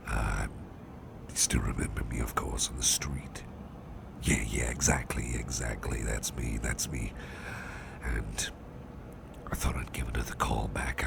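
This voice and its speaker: A courteous, soothing businessman boss, acted out by a man in an ASMR roleplay. His voice is extremely low and full of heavy fry, hushed almost to a whisper. He takes his time, gently repeating polite phrases, with noticeable noise behind him. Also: exaggerated voice. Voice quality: very deep, gravelly, breathy near-whisper. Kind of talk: soft-spoken ASMR roleplay.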